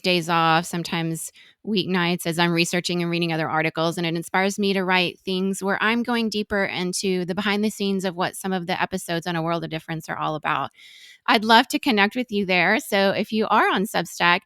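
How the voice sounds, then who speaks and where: A woman's voice: fairly low pitch, fairly bright tone, clear, resonant tone, dry recording, studio quality. A woman from Venezuela hosting a podcast, talking to her guest and listeners. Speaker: podcast host. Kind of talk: interview and listener address.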